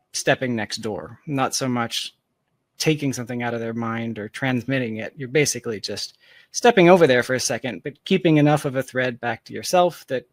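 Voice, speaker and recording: A man's voice: medium pitch, dry recording. Reflective man talking casually, close dry sound. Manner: philosophical, informal, thinking aloud. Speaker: podcast guest, tech founder.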